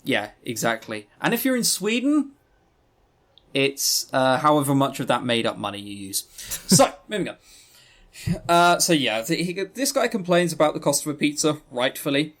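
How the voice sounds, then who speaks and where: Higher-pitched man in sarcastic casual chat. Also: big pitch swings, normal speed, a bit bright. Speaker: podcast co-host reviewing visual novels. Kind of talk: casual chat, opinionated review banter.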